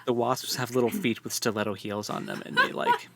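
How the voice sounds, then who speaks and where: A man's voice: mid-range voice, wildly swooping pitch, brisk, heavy background noise. A man co-hosting an informal book discussion, giving wry, conversational commentary. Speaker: co-host of a book discussion show. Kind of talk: casual chat, book discussion.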